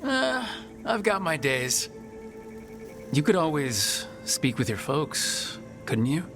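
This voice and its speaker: An actor playing an easygoing, self-deprecating young fantasy hero with a casual, lightly joking manner. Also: male voice, on the high side, very animated, slow pace, exaggerated voice. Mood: gentle. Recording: music behind the voice.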